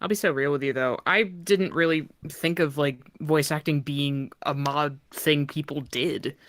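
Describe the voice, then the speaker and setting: A woman chatting casually on a stream, expressive and playful, laughing easily. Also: sits low, unhurried, no room sound, clean studio sound. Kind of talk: casual group chat on a stream.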